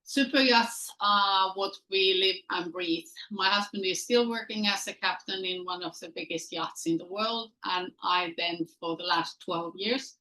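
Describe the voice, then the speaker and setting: Bright-voiced Finnish woman presenting. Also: mid-range voice, unhurried, few pauses, poor audio. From Finland. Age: middle-aged. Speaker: guest presenter, company founder. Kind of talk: informal webinar presentation. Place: online webinar.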